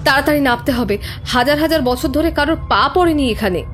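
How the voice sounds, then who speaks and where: High-voiced young woman explorer played by an actress. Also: lively intonation, quick pace, fairly bright tone, a little hiss or hum. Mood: urgent. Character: determined young female explorer probing an alien temple. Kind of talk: dramatic audio story acting.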